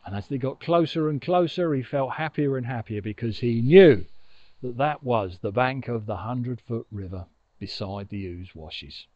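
An older man telling a children's story in an expressive, conversational way, recorded outdoors on a lapel mic. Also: medium pitch, moderate pace, no echo, muffled recording. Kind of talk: informal oral storytelling for children. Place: outdoors on a grassy riverbank. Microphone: lapel mic with furry windshield.

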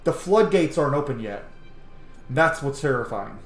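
A man's voice: medium pitch, animated, normal speed, echoey room. A man in his thirties giving casual commentary on a game he is playing, recorded indoors at home. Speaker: gamer narrating a playthrough. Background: game music faintly behind.

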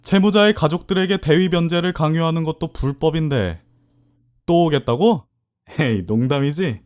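남자가 연기하는 자신감 있는 법대생 청년. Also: male voice, a little high, normal speed, lightly acted. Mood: assertive. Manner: cool and assertive, then polite and gentle. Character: young male law student, confident and sharp-tongued. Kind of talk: amateur audio drama acting.

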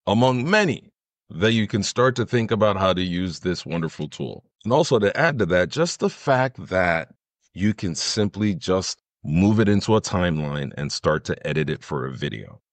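A middle-aged man explaining software in an enthusiastic, conversational tutorial style. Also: on the low side, dry recording. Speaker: tutorial host.

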